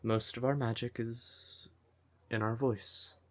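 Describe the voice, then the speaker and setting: A man playing a devoted, emotional siren boyfriend in an intimate fantasy ASMR audio roleplay, with a breathy, tender voice. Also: medium pitch, level tone, lightly acted. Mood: tender, intense. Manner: tender, unhurried, emotive, with pet names. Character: devoted male siren nightclub singer, affectionate boyfriend, easily exasperated.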